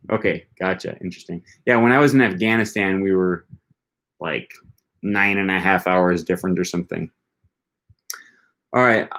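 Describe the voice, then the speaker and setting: A young man hosting a language-learning live stream, reading viewer comments aloud in a chatty, rambling way with lots of ums and restarts. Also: fairly low pitch. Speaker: language-learning livestream host.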